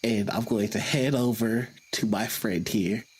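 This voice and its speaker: A man's voice: on the low side, steady tone, measured pace, slightly rough. A man speaking casually as a player in a tabletop roleplaying game, saying what his character does.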